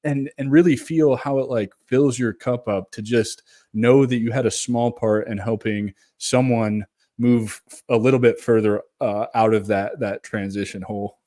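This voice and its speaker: A male podcast host talks earnestly and thoughtfully about service. His voice is at an ordinary middle pitch, recorded dry and close with no room sound. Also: fairly even intonation, normal speed, mellow, no echo. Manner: earnest, reflective. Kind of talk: casual podcast conversation.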